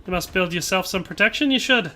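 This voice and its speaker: A man's voice: high voice, expressive, fast, sharp tone, heavily acted. A man putting on a cheery stage-Irish lilt. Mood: coaxing. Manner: playful, sing-song stage Irish lilt. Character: cheery Irish-style man, jokey and lilting.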